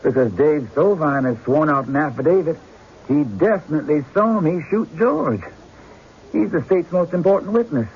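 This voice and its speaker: An actor playing a worried, weary accused husband in a radio drama, with a husky, gravelly voice. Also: male voice, fairly high pitch, expressive, normal speed, slight background noise. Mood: troubled, earnest. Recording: dull old radio broadcast.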